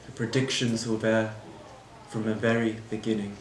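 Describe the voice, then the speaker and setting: A man acting a bitter, disillusioned manager in a scripted dramatic monologue, solemn and deliberate, pausing between short phrases. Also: fairly low pitch, level tone, close to an everyday voice. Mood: grave conviction. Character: bitter, disillusioned airport manager defending replacing people with machines. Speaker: actor performing a monologue. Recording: roomy echo, clear background noise.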